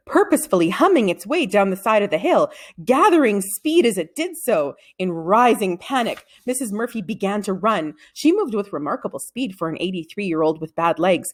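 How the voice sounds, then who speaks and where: Expressive woman storyteller, lively pitch. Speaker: read-aloud narrator. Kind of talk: expressive scripted children's story narration.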